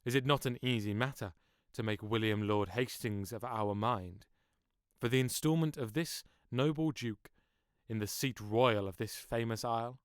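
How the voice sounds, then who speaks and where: An actor playing a commanding, conspiring nobleman in Shakespearean audio drama, with deliberate, persuasive formal verse. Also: medium pitch, smooth, no room sound, studio quality, natural voice. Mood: conspiratorial, commanding.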